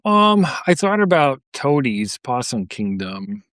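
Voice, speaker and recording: A man's voice: medium pitch, very animated, slow pace, a bit bright, dry recording, clean studio sound. A man talking casually as a guest on a podcast.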